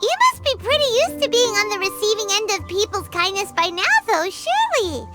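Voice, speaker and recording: A woman's voice: extremely high pitch, big pitch swings, a little fast. A woman voicing a tiny, childlike fairy companion in a video game, cheeky and blunt. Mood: teasing. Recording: loud game music under voice.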